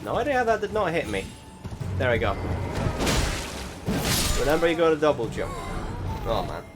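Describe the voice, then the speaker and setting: A man giving casual, rambling voice-over commentary on recorded gameplay, often halting and restarting his sentences. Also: on the high side, very animated. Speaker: gaming video host. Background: music behind the voice.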